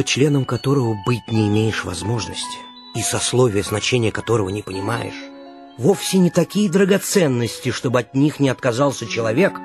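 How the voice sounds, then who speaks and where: An actor playing an earnest, generous gentleman in a radio play, with a husky voice. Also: medium pitch, close to an everyday voice. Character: earnest, generous, well-off returned brother, middle-aged gentleman.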